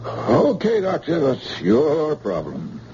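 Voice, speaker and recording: Colleague doctor, male,, casual offhand chatter, lively pitch, dull old radio sound. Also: takes it slowly, heavily acted. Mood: breezy. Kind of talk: 1940s radio acting. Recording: dull old radio recording, faint hiss.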